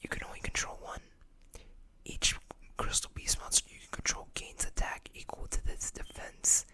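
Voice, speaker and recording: ASMR host: full whisper, breathy and sharp-edged, hushed deliberate reading with informal asides. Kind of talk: whispered ASMR, reading trading card text with casual commentary.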